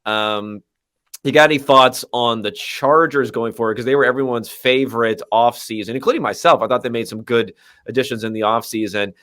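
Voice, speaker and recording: A man's voice: mid-range voice, steady tone, brisk, fairly bright tone. A man hosting a sports podcast, chatting casually with wry, sarcastic asides. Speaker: podcast host.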